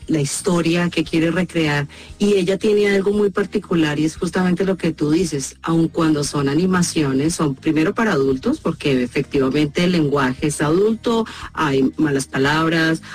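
A woman's voice: deep voice, darkish tone. A woman with a Colombian accent, a voice actress speaking as an interview guest, explaining and telling anecdotes about her work.

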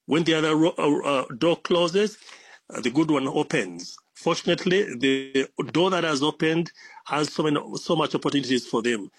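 A man's voice: a little high, normal speed, breathy edge, no room sound. A man speaking as a reporter, hesitant and full of fillers.

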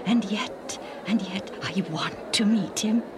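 A woman's voice: mid-range voice, fairly even intonation, slow pace, background noise, pitched above the performer's own voice, lightly acted. A woman doing a shy, stammering uncle. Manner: hesitant, stammering, soft.

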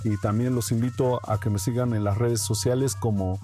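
A man with a Mexican Spanish accent, a guest painter speaking calmly in a radio interview, with some fillers. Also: mid-range voice, soft background music. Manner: calm, unhurried, many fillers.

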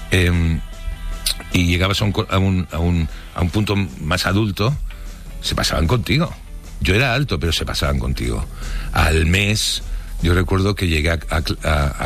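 A middle-aged man from Barcelona with a deep voice and a Spanish accent from Spain. He tells his memories casually, pausing and searching for words in a reflective way. Faint music plays underneath. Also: a bit bright. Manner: colloquial, halting, reflective. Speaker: interviewee telling his life story. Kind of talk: casual spoken recollection.

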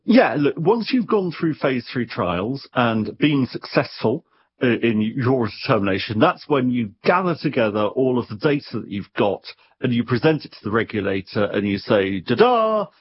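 A man speaking as an expert guest, explaining things conversationally in an animated, wry way, sometimes doubling back on his words. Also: mid-range voice, moderate pace, breathy edge, dull audio.

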